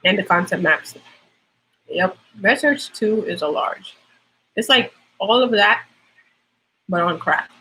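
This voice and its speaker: A woman's voice: mid-range voice, animated, takes it slowly, pauses often, sharp tone, slight background noise, low-quality recording. A young woman with a Trinidadian accent, chatting casually on a livestream as a nursing student, explaining things with lots of fillers.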